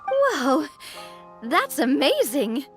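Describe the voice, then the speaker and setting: A woman's voice: high voice, very animated, drawn-out words, over music, full character voice. An actress playing a breezy, chatty, optimistic young woman in a fantasy game, with a theatrical delivery. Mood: playful. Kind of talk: game voice acting.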